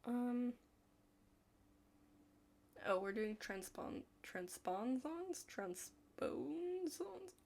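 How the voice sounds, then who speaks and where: A young woman chatting casually in an ASMR study roleplay, rambling and hesitant, hushed and slipping into whispers. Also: a little high, gravelly, slight background noise. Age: young adult. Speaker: ASMR creator playing a study partner.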